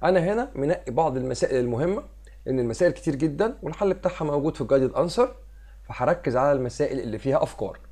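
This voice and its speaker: Hoarse Egyptian male math teacher. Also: a little high, expressive, racing, warm tone. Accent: Egyptian Arabic. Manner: explains step by step, mixing English math terms into Arabic. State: hoarse from a cold. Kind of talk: screen-recorded math lesson. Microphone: voice-over on a screen recording.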